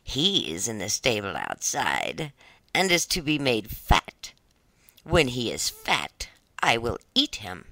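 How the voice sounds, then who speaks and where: A reader voicing a slow, whispery wicked old witch. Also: medium pitch, wildly swooping pitch, bright, forceful tone, dry recording, voice pushed down, exaggerated voice. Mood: commanding. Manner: slow, drawn-out, sometimes whispered. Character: wicked old child-eating witch, mocking, greedy.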